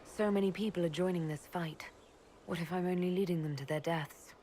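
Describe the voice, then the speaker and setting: Woman voicing a young female leader, fairly low pitch, composed, direct, dryly teasing, game dialogue, faint background noise. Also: fairly even intonation, brisk, dark tone, close to an everyday voice. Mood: earnest. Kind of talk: video game character dialogue.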